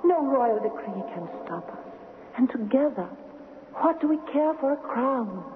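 A woman's voice: sits high, animated, unhurried, big-room sound. An actress playing a delicate, devoted young noblewoman in love in a 1970s radio drama, earnest and formal. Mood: devoted, hopeful. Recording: muffled narrow-band old radio with noise.

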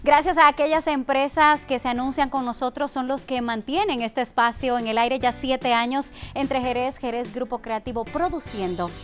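Woman, TV host, bright higher voice, upbeat and chatty, light music under her. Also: fairly even intonation. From Dominican Republic. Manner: upbeat, friendly, with filler pauses. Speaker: TV talk show host. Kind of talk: chatty interview and live sponsor plugs.

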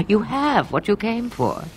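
A woman's voice: mid-range voice, fairly bright tone, slight background noise, heavily acted. Swooping, cryptic old witchy woman. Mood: mocking amusement. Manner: theatrical, teasing, unhurried. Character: cryptic, sharp-tongued old woman of the wilds, gleefully mocking. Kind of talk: fantasy video game voice acting.

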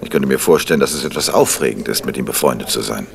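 A middle-aged man acting an urbane, skeptical crime novelist in a 1970s German radio play, gravelly and teasingly ironic. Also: extremely low pitch. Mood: wry. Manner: unhurried, ironic, hesitating for effect.

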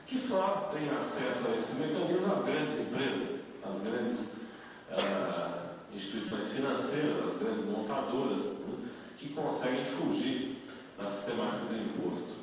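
A man's voice: mid-range voice, a little slow, a little hiss or hum. A middle-aged man with a Brazilian Portuguese accent giving a lecture on a handheld mic, explaining step by step and tagging rhetorical questions. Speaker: invited speaker. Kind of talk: lecture at a debate event. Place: indoor meeting hall. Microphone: handheld mic, filmed by a far camera. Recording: echoey hall audio from far off.

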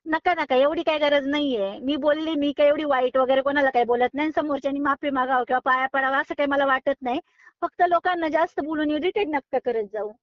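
A high-voiced woman argues on a phone call. She is firm and exasperated in an unscripted exchange. The audio is close and dry, slightly dull and lo-fi. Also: a little fast, dry recording. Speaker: online recipe video creator receiving a prank call.

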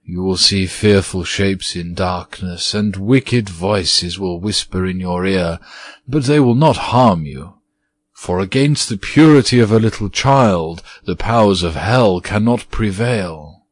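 A man reading aloud, voicing an old withered male ghost who speaks in a low, dreamy, mournful way. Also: extremely slow, no room sound, heavily acted.

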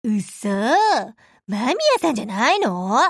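A woman voicing a brash, casual teenage warship girl in an anime game, slangy and lively. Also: medium pitch, slow, husky, dry recording, clean studio sound, full character voice. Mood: surprised, pleased. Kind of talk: anime game character voice acting.

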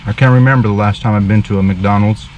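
A man in his thirties speaks slowly and earnestly, with a preacher's formal, pious turn of phrase and a fairly low voice. He talks into a camera from the seat of a parked car. A faint hum of noise sits behind him. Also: steady tone, darkish tone, dull audio. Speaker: street preacher. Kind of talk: casual vlog with preaching asides. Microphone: dash camera in front of him.

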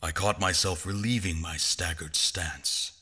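A man acts a somber narrator looking back on a memory. The voice is deep and raspy, in dramatic literary narration. It rings out in a long, cavernous reverb. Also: fairly even intonation, a little slow, sharp tone, heavily acted. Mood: somber, reflective.

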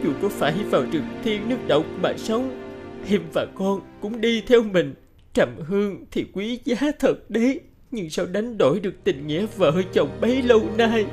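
A woman playing a devoted, anguished young village wife in an animated folk tale, with an emotive, plaintive delivery. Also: mid-range voice, normal speed, music in the background, lightly acted. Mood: anguished pleading.

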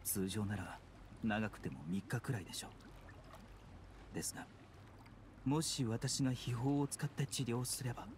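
A man's voice: medium pitch, animated, a little mellow, a little hiss or hum, lightly acted. A man playing a composed, refined young doctor. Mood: grave, composed. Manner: polite, measured, clinical. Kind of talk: Japanese anime game acting. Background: background music.

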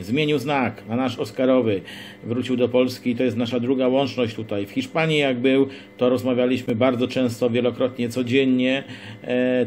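A man's voice: medium pitch, a little mellow. A man leading a casual radio contact, introducing other operators and passing the microphone along. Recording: faint hum and radio noise.

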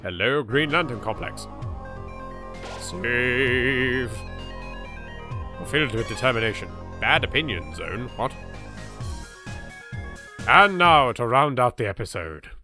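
A man with a British accent giving casual, excitable gameplay commentary, self-deprecating and repeating himself. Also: mid-range voice, slow, long pauses. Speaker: let's play host. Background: game music.

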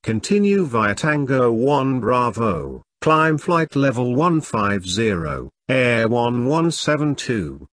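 A man reading scripted pilot radio calls in a measured, formulaic way for an English lesson. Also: medium pitch, very animated, fairly bright tone, no room sound. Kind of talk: scripted radio phraseology readback.

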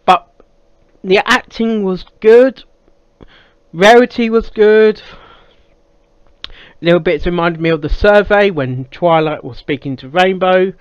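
A man giving casual reaction commentary as a video host, in a halting way that repeats phrases. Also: high voice, clean tone, dry recording. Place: small cluttered room indoors. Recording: slightly dull, dry sound.

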